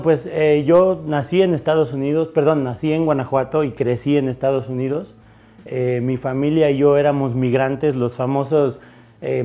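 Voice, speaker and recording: A man in his forties from Mexico, a chef answering interview questions in a bar, halting with many fillers and restarts, recorded on a lapel mic. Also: mid-range voice, mellow. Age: 40s. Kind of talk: interview conversation. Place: bar with bottle shelves.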